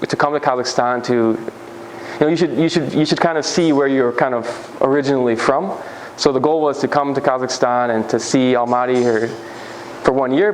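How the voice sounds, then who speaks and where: A man's voice: mid-range voice, slight background noise, rough recording. Casual man giving a talk. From United States. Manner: casual, many fillers and restarts. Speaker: speaker. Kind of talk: conference talk.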